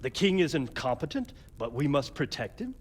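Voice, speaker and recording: A man's voice: medium pitch, very animated, normal speed, slight background noise, close to an everyday voice. A man playing a practical, doubtful medieval nobleman in a game cutscene, courteous but questioning. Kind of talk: dramatic video game cutscene acting.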